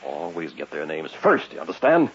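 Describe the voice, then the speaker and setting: Curt, troubled radio-drama lead with a very low, gravelly voice, muffled old recording with music behind. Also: male voice, huge pitch swings, moderate pace, a little hiss or hum, exaggerated voice. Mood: agitated. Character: troubled man, tense, curt, fearing he is hunted.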